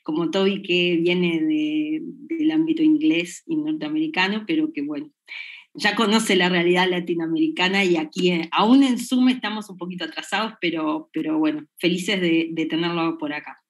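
A woman's voice: sits low, normal speed. A woman in her forties, a coordinator, informally introducing the guest speaker over a video call. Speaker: event coordinator. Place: home office with bookshelves.

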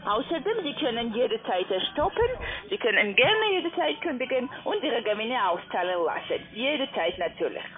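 A woman is selling over a tinny phone line in accented, non-native German. Her voice is fairly high and swings widely in pitch. Her phrasing is halting and formal, like a call centre agent working through a pitch. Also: sing-song. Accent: foreign, non-native German. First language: not German. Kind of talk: telephone sales pitch.

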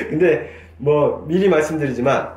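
Animated male doctor in a roomy office. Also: on the high side, unhurried. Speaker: clinic doctor. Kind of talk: explanatory medical consultation. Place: small clinic office. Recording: roomy, distant mic, faint noise.

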